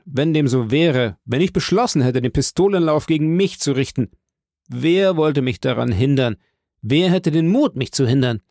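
Despairing young soldier, raised and strained, with a gravelly edge, played by a male narrator. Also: on the high side, a little mellow, no room sound, studio quality, pitched above the performer's own voice. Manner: rising to anguished outbursts.

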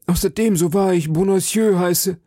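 Guy doing a nervous middle-aged landlord for an audiobook, high voice, hesitant and humble, dry sound. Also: moderate pace, dark tone, dry recording. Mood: nervous, deferential. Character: timid, deferential middle-aged townsman and landlord, anxious. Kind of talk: audiobook character dialogue.

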